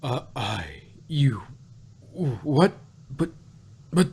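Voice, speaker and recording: A man's voice: mid-range voice, lively intonation, drawn-out words, gravelly, darkish tone, breathy edge, exaggerated voice. A man playing an indignant, flustered alicorn princess in a fan fiction reading. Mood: stunned, flustered. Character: adult alicorn princess, disbelieving, indignant, flustered elder sister.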